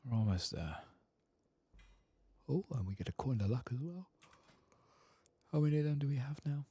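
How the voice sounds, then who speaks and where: A man giving soft-spoken, murmured ASMR commentary while playing a video game, with a husky, breathy voice. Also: mid-range voice, animated, no room sound. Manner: quiet, murmured, unhurried.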